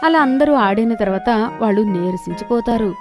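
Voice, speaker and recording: A woman's voice: fairly high pitch, lively intonation, moderate pace, over music. A woman telling a scripted children's story as its narrator.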